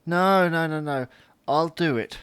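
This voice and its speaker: A man's voice: a little high, a little slow, a bit bright, clear, resonant tone, no room sound. A man playing a grumpy, whining, babyish husband in an audio comedy drama, grouchy and plaintive in manner.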